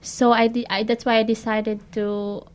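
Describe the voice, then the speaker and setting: A woman's voice: fairly high pitch, level tone, moderate pace, a little mellow, smooth. A woman, a mother answering interview questions in English, often repeating words as she searches for them. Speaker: guest, mother.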